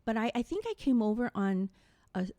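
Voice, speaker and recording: A woman's voice: fairly high pitch, sing-song, a little fast, warm tone, no room sound. A woman hosting a casual live-stream interview, effusive and informal as she speaks directly to her viewers. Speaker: talk show host.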